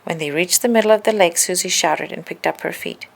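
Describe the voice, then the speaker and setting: A woman reading a children's story aloud in an expressive, deliberate narrating style. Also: on the low side, gravelly. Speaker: storybook reader.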